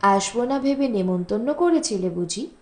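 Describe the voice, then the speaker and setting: A woman's voice: a little high, lively intonation, moderate pace, darkish tone, a little hiss or hum, lightly acted. A woman voicing a self-assured senior male politician, blunt and teasing, in an audiobook. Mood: amused teasing. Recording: roomy, echoing voice recording.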